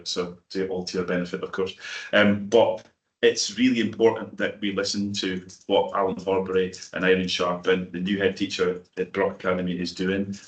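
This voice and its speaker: A man's voice: deep voice, echoey room. A man with a North-East Scots accent whose first tongue is Doric, speaking earnestly as a councillor at a committee meeting. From North-East Scotland.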